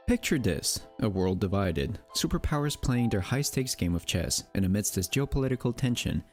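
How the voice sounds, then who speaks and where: Low-voiced man narrating with theatrical, lively swings. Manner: theatrical, with rhetorical questions and playful asides. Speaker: narrator and presenter of a mystery video channel. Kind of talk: scripted documentary-style narration.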